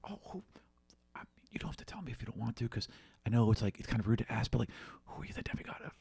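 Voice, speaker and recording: A man's voice: mid-range voice, big pitch swings, rapid-fire, creaky, dark tone, husky, in a whisper, heavily acted. A man voicing an eager, awkward young boy in a tabletop game, with a nervous, stammering, gushing way of talking. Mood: shy, curious.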